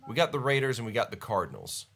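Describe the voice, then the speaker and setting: A man's voice: medium pitch, sing-song, fast, gravelly, fairly bright tone. A man hosting a podcast live stream in casual chat, with a stuffy, congested voice, rambling and laughing often. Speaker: podcast host.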